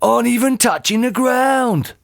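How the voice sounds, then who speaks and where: A reader voicing a cruel, gloating old man in a storybook, drawing out his taunts slowly. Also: medium pitch, animated, creaky, no echo, studio quality, pitched above the performer's own voice. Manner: slow, taunting, relishing each word. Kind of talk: storybook character voice.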